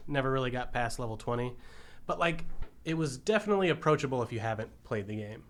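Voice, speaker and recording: Male podcast co-host, mid-pitched voice, casual and opinionated film-review chat in everyday slangy wording. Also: expressive, fast.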